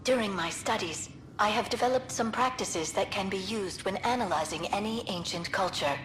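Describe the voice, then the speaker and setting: An actress playing a polite, scholarly young alien archaeologist in a video game, speaking in an earnest, formal and courteous way. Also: female voice, mid-range voice, moderate pace, a bit bright, a little hiss or hum, lightly acted. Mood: formal, lecturing. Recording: music playing behind.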